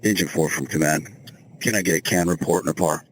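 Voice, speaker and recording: A man's voice: low-pitched, brisk, dry recording. A man speaking as an incident commander over two-way radio, giving clipped, businesslike procedural orders. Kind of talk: procedural radio dispatch talk. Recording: noisy fire-ground radio traffic.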